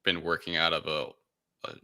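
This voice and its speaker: A man's voice: very deep voice, steady tone, unhurried, creaky, pushed and bright. A man co-hosting a casual film discussion, recapping in a rambling, offhand and irreverent way. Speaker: podcast co-host recapping a film.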